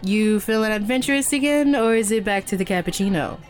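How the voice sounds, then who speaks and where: Over music, an actress plays a young woman serving at a cafe counter. She is easygoing and chatty, with a medium-pitched voice and a natural, friendly audio drama delivery. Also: brisk, close to an everyday voice. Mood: friendly, helpful. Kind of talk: naturalistic fiction podcast acting.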